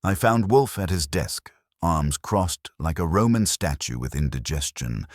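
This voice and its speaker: Man, very deep voice, slightly husky, wry hardboiled audiobook narration, detective story. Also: dry recording, clean studio sound. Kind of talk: scripted first-person detective story narration.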